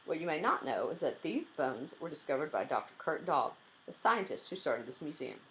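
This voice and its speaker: A woman voicing a polite young female museum guide who speaks deliberately and instructively in a children's storybook. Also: sits low, very animated, fairly bright tone, a little hiss or hum, low-quality recording. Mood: brisk, informative.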